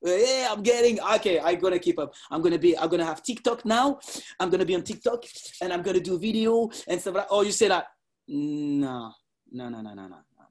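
A man, chatting casually about parkour in animated, slightly broken English with laughs. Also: high voice, brisk, a little hiss or hum. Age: 40s. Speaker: parkour practitioner. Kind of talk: casual conversation.

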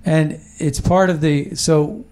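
A man's voice: on the high side, fairly even intonation, slow, a little mellow, clear, resonant tone. A man hosting a casual political talk show, rambling and emphatic, often restarting his sentences.

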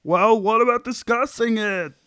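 A man's voice: very high-pitched, moderate pace, fairly bright tone, clear, resonant tone, no room sound, clean studio sound. A man playing an insecure, whiny sitcom husband in an amateur table read, stammering and defensive. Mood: eager, put out.

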